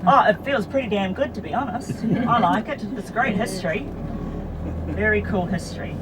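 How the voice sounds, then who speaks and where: A woman's voice: mid-range voice, normal speed. A woman from New Zealand with a New Zealand accent, giving a chatty guided tour commentary as a bus driver, telling the history with casual asides. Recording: distant voice under loud bus noise. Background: vehicle engine and road noise.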